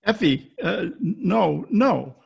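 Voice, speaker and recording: An actor playing a wry, deadpan private detective who narrates in 1940s radio style with a gravelly voice. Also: male voice, high voice, drawn-out words, slight background noise, full character voice. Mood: groggy concern. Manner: deadpan, wisecracking. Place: home, recorded over video call.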